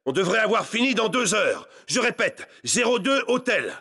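Very high, tense soldier. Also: male voice, very animated, moderate pace, gravelly, breathy edge, exaggerated voice. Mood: businesslike, tense. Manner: clipped, forceful. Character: tense male soldier reporting on supplies. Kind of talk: military radio report, game voice acting.

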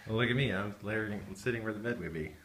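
A man's voice: lowish voice, fast talker, reverberant, heavy background noise. A man filming a house tour on his phone, joking dryly and agreeing along. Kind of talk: casual banter.

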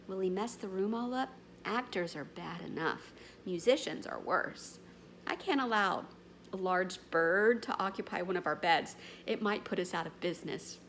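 A woman's voice: fairly low pitch, gravelly, a bit bright, slight background noise, full character voice. A woman voicing a fussy, prim male hotel desk clerk in a storybook reading.

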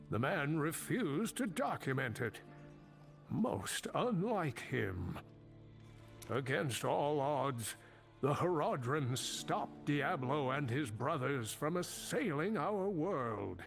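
Slow, creaky old male scholar. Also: mid-range voice, sing-song, soft background music, exaggerated voice. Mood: solemn. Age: older. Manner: halting, solemn, deliberate. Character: old male scholar, grave, weary, worried mentor. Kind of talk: dramatic video game dialogue.

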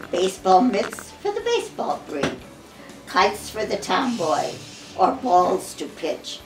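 Elderly American woman reading slowly, lively pitch. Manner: measured, expressive storybook reading with occasional stumbles. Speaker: grandmother reading to family. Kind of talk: reading a Christmas poem aloud. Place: living room at home. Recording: roomy home recording with music behind.

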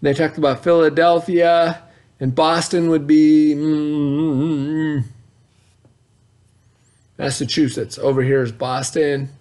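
A man's voice: on the high side, flat delivery, slow, long pauses, a little mellow. A middle-aged American man teaching young pupils, reading aloud and explaining in an instructive way as an elementary school teacher. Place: indoor school classroom.

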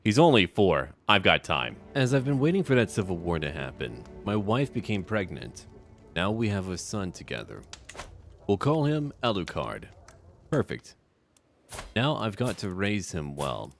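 A low-pitched man commentates on a strategy game as if living the part. His intonation swings widely as he muses aloud in a scheming, theatrical way. Music plays underneath. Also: sing-song, moderate pace, gravelly. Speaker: gamer narrating a strategy game.

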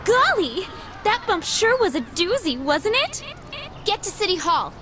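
A woman's voice: extremely high pitch, big pitch swings, measured pace, bright, forceful tone, slight background noise, exaggerated voice. A woman playing a perky, naive 1950s damsel in distress in a video game. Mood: chirpy.

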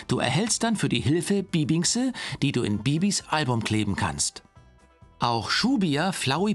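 A man reading scripted instructions for a children's game in an explanatory way. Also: a little high. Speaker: game narrator.

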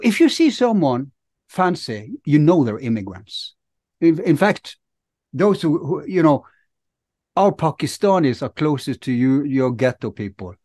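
A man in his thirties co-hosting a podcast, talking with halting restarts on a video call. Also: fairly high pitch, very animated, darkish tone, no echo, studio quality. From Norway. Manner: hesitant, repeats words. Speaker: podcast co-host. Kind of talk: casual conversation. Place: home study with bookshelves, video call. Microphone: headphones and studio mic.

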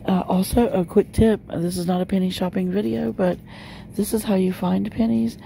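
Chatty woman with a gravelly, mellow voice. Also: medium pitch, steady tone, moderate pace, a little hiss or hum, poor audio. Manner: chatty, many "um" and "uh". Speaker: shopping vlogger. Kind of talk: casual store walk-through. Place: inside a large discount store. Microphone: handheld phone camera.

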